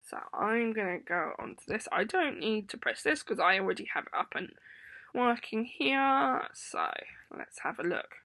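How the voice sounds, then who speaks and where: A woman in her thirties with an English accent, hoarse from a sore throat, gently teaching young children over a webcam with many ums. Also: fairly high pitch, lively intonation, normal speed, dry recording. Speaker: primary school teacher. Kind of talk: remote lesson to children. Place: home room indoors. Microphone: laptop webcam.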